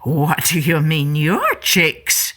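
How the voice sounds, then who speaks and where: A woman voicing a menacing, possessive mother lion in a children's story, growling and snarling with drawn-out, taunting words. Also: low voice, wildly swooping pitch, a bit bright, full character voice. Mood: defiant, growling.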